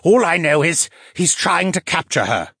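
A man's voice: a little high, very animated, moderate pace, vocal fry, bright, forceful tone, breathy edge, dry recording, clean studio sound, pitched above the performer's own voice, exaggerated voice. A man voicing a bitter, defiant captive merman fisherman in an audiobook, scornful and muttering. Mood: sneering.

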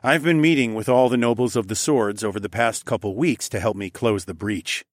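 A man's voice: fairly low pitch, a little fast, dry recording, close to an everyday voice. Man playing an assured fantasy lord, dry sound. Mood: serious. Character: confident, reassuring young male fantasy lord and leader.

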